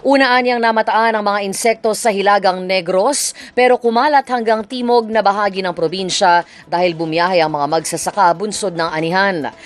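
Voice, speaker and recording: A woman's voice: medium pitch, normal speed, hardly any pauses. A woman with a Filipino accent reading scripted news as a radio anchor. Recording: music bed behind voice. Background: music.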